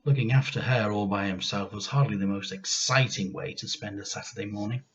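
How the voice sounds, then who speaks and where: A man reading a children's storybook aloud like a teacher, with expressive, deliberate and emphatic delivery. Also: fairly low pitch, dull audio.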